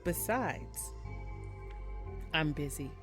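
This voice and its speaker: A woman's voice: sits low, lively intonation, very slow. A woman giving casual let's-play commentary and reading game text aloud in her own voice, with music behind her. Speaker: game streamer reading visual novel dialogue aloud.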